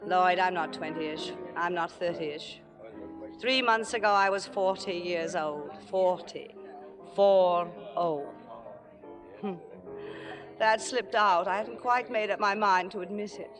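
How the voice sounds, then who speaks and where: A woman playing a jealous, sharp-tongued middle-aged stage actress, theatrical and sarcastic, in an old-time radio drama. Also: on the low side, slow pace, over music. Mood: weary, bitter. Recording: vintage 1940s-50s radio broadcast.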